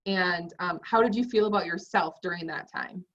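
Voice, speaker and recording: Lower-voiced woman host, distant and muffled. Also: moderate pace, fairly bright tone. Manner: encouraging, rambling questions with many fillers. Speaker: podcast host. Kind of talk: casual interview.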